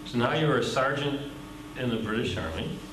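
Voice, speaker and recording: A man's voice: medium pitch, expressive, normal speed, reverberant, noisy background. A man conducting an oral history interview, summarizing and prompting with questions.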